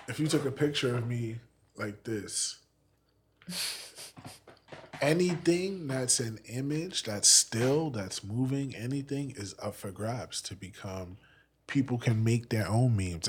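A man's voice: medium pitch, slow pace, long pauses, husky. A man hosting a podcast, talking in a casual, playful and teasing way. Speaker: podcast host. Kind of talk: casual conversation.

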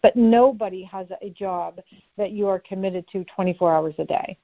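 Earnest woman guest giving straight advice in quick bursts, mid-pitched, dull audio. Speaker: guest, personal development expert. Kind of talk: interview answers, giving advice.

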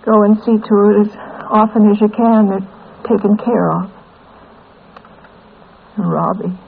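A woman playing a dying, weary, tender mother in a 1940s radio drama, with weak, halting speech. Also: medium pitch, gravelly. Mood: tender, resigned. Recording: muffled, hissy old radio recording.

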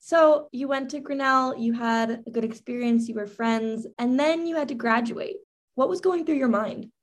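A woman hosting a podcast, moving between relaxed interview chat and scripted narration in a friendly, upbeat manner. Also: a little high, moderate pace. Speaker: podcast host.

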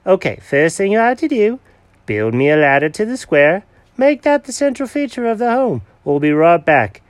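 A dramatic, bossy male cat in a storybook tale, as a man puts him on. His voice jumps high and swings wildly with emphatic, theatrical flair. It sounds dry and close. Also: big pitch swings, normal speed, dry recording. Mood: indignant.

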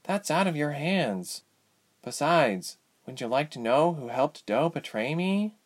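A man voicing a woman prisoner in a storybook, speaking softly and frankly to console a fellow captive. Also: on the high side, sing-song, measured pace, pitched above the performer's own voice. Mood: consoling.

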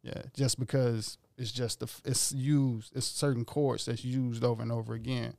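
A man's voice: mid-range voice, level tone, normal speed, gravelly, a little mellow, no room sound, clean studio sound. A man, a musician guest, talking casually and rambling in slangy speech about his music. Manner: laid-back, rambling, slangy. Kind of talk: casual interview talk.

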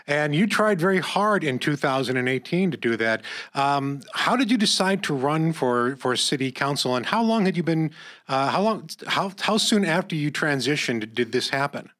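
A man's voice: a little high, animated, moderate pace, fairly bright tone. A man hosting an interview, asking long questions with frequent restarts and repeated words. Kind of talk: casual interview.

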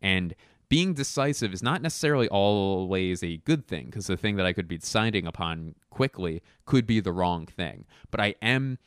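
A young adult man rambling through a casual solo chat, self-mocking and sure of himself, with quick asides and restarts. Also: low voice, animated, smooth, dry recording, clean studio sound.

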